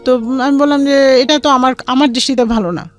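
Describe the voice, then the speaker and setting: A woman's voice: high-pitched, fairly even intonation, a little fast. A woman with a Bangladeshi accent tells a personal story in an interview, acting out both sides of remembered conversations. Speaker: guest telling life story. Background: background music.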